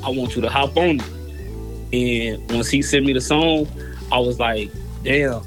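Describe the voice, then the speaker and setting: A man's voice: medium pitch, a little slow, soft background music. A young man from Chicago with a Chicago accent, a rapper guest talking in a laid-back, hesitant way full of casual slang.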